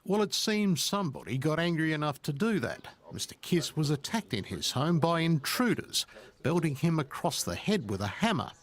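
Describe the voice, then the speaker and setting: A man's voice: on the high side, big pitch swings, normal speed, slight background noise. An Australian man reading scripted current-affairs narration as a TV news reporter, with a punchy, sensational delivery. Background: music.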